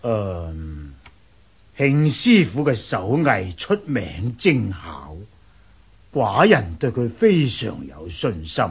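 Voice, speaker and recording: An actor playing a stately, deliberate king of ancient China in a radio drama, husky, with a Hong Kong Cantonese accent. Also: lowish voice, exaggerated voice. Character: pleased, patient king of an ancient Chinese state.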